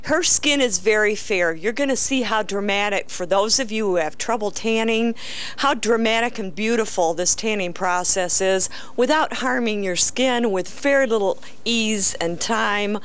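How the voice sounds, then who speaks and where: A woman hosting an on-location talk show, chatty and enthusiastic, with a loud voice, touring a tanning salon indoors. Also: mid-range voice, normal speed, smooth. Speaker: host. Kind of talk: casual on-location interview.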